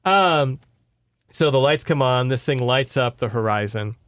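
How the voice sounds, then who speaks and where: Guy podcaster recapping a book, clean smooth voice, swears a lot, kinda fed up and sarcastic. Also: mid-range voice, measured pace, a bit bright, no echo, clean studio sound. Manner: sweary, sarcastic, exasperated asides. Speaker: podcast host. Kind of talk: casual recap of a horror novel.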